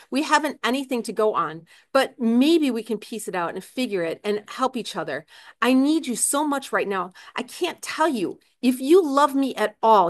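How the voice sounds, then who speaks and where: A woman's voice: on the high side, pitched above the performer's own voice, close to an everyday voice. A woman voicing a desperate, agitated husband in a novel reading, speaking with urgent, earnest pleading.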